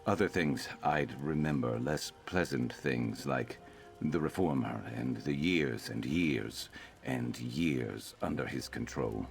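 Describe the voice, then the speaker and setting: Weary lizard-folk fighter for a fantasy game: extremely low, gravel-throated, guarded and plainspoken. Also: male voice, takes it slowly, heavily acted. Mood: troubled, reflective. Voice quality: very deep, gravelly. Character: weary, guarded male Argonian lizard-folk warrior, former slave. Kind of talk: video game character dialogue.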